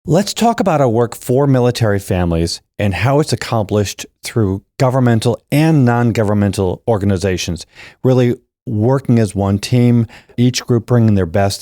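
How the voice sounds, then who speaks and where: A man hosting a podcast interview, asking steady, prepared-sounding questions. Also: fairly low pitch, no room sound, studio quality. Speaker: podcast host.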